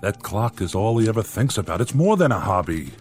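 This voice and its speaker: An actor playing a blunt, impatient but affectionate husband in a radio drama, with an insistent, reasoning manner. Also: male voice, lowish voice, sing-song, brisk, soft background music. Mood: exasperated.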